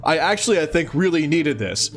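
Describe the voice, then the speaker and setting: Animated man, lighter-pitched, reacting as he reads. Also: a little fast, soft background music. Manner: amused, exclaiming, often chuckling. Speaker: host of a let's-read series. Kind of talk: casual reading aloud with running commentary.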